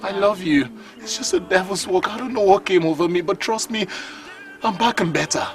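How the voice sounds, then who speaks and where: A man's voice: high voice, a little fast. An actor playing a remorseful man pleading with the woman he wronged to come back. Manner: pleading, repeating her name. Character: remorseful man begging his estranged lover. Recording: music underneath.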